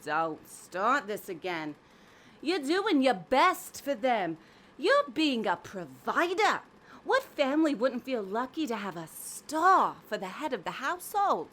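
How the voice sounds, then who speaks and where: A woman's voice: fairly high pitch, very animated, a little slow, fairly bright tone, full character voice. A woman voicing a brash, scornful, persuasive magic hat in an audio drama, sardonic and theatrical. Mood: reassuring, insistent. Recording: faint background noise.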